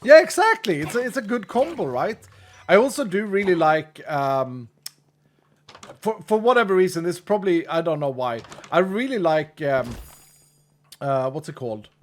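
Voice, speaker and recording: A man's voice: high-pitched, big pitch swings, normal speed. A man from Sweden with a Swedish accent, Swedish being his first language, chatting casually with viewers while gaming on a stream, joking about himself and trailing off mid-thought. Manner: chatty, self-deprecating, laughs often, trails off mid-sentence.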